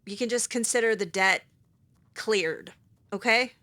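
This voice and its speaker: Bright-voiced sarcastic woman gamer. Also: fairly high pitch, unhurried. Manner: sarcastic, hesitant with fillers. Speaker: game streamer roleplaying her character. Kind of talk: in-character roleplay voiced over gameplay.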